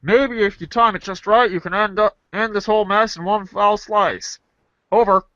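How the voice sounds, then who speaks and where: A man's voice: sits high, steady tone, moderate pace, sharp tone, no echo, lo-fi sound. A man reading a script aloud on a podcast in a theatrical narrating style. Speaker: podcast reader.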